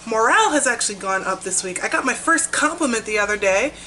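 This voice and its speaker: A woman's voice: a little high, lively intonation, quick pace, pushed and bright, slight background noise, rough recording. A young woman chatting casually in a vlog, upbeat and talkative, while she is losing her voice. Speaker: vlogger. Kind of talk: casual weight-loss vlog.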